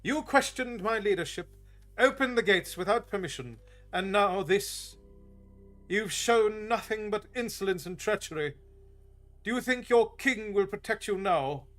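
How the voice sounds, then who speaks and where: A menacing bald mind-reader, as a man reading aloud puts him on, cold and quick to fury. His voice climbs high and loud when he shouts, with faint music in the background. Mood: furious. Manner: shouting, sneering, raised high.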